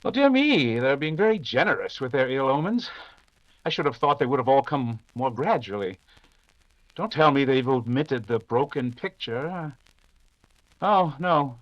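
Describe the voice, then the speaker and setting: Casting: male actor as wry, unruffled detective, mid-pitched, urbane and dismissive, radio drama, slightly lo-fi recording. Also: big pitch swings, measured pace, no echo. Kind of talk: old-time radio detective drama acting.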